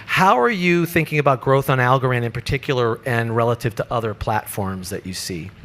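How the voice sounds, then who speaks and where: A man moderating a conference panel, easygoing and a little halting, laughing along with the panelists. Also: mid-range voice, lively intonation, fairly bright tone. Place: conference stage.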